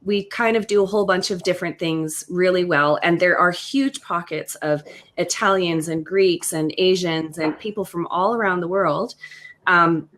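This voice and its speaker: A woman's voice: fairly low pitch, normal speed, fairly bright tone, slight background noise. A woman in her thirties from Western Canada, chatting enthusiastically with many fillers on a video call. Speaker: co-host. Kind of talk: casual video-call chat.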